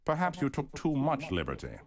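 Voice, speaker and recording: Slippery collector, gracious surface, animated pitch. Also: male voice, a little fast. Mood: cool. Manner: polite, evasive, lively pitch. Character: smooth, guarded male museum collector. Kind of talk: video game voice acting.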